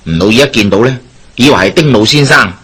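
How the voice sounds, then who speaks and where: A man playing a confident schemer bent on justice in a Hong Kong Cantonese radio drama, explaining persuasively in a husky voice. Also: mid-range voice, lively intonation, quick pace, a bit bright.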